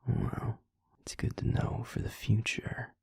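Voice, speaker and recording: A man playing a gruff but tender, easily flustered young werewolf boyfriend in an intimate audio roleplay, speaking in a hushed, halting, husky voice. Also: no echo, in a whisper, studio quality, natural voice. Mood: amused, flustered. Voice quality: breathy, husky, gravelly. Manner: hushed, halting, grumbling affectionate swearing.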